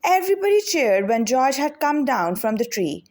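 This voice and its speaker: A woman storyteller reading a children's picture book aloud in an expressive, deliberate way. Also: high-pitched.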